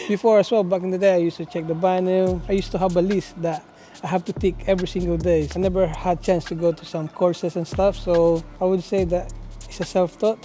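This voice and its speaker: A man's voice: sits high, flat delivery, a little fast, warm tone. A 30-year-old man from the Philippines with a Filipino accent, modestly introducing himself to camera. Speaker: company staff. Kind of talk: casual team intro to camera.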